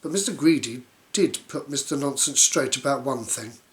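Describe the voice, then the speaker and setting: A woman's voice: very deep voice, moderate pace. A woman reading a children's story aloud in a silly, theatrical way, dropping in playful asides.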